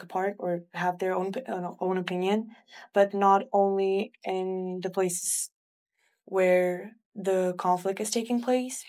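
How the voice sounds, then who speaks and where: A woman's voice: fairly low pitch, a little mellow. A young woman from the Czech Republic with a Czech accent, sharing her views in an informal student discussion. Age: young adult. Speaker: student panelist.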